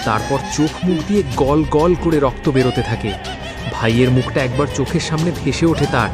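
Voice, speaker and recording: A man's voice: on the high side, fairly quick, music in the background. A man narrating a scripted horror story with dramatic, suspenseful delivery.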